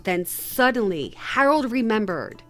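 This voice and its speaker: A woman reading a children's picture book aloud in an expressive, measured storytelling manner. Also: fairly high pitch, faint music behind. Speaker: read-aloud host.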